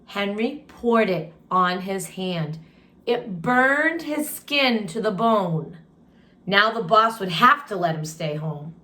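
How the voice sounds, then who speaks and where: Slow-paced woman reading a story aloud. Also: mid-range voice, expressive, sharp tone. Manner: deliberate, pausing to comment and ask questions. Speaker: storytime reader and teacher. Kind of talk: expressive read-aloud storytelling with asides to children.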